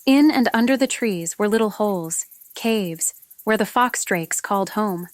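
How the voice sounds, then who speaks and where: A woman's voice: mid-range voice, expressive, measured pace, no echo. A woman narrating a fantasy story from a script, as an audiobook reader. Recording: noticeable background noise with clicks, insect-like sounds.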